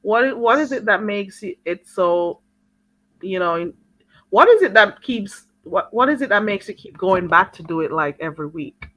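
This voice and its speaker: A woman's voice: mid-range voice, expressive, normal speed, a bit bright, poor audio. A woman hosting a casual chat, friendly, asking long rambling questions. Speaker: host.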